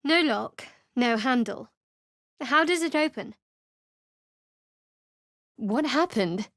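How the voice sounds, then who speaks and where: Game cleric, woman, dry and guarded, a touch high, close dead-dry studio sound. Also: lively intonation, slow pace, a bit bright, no room sound, exaggerated voice. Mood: guarded, wry. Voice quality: dry, clipped and wry. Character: guarded sharp-tongued young female cleric. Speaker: game character. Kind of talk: video game voice acting.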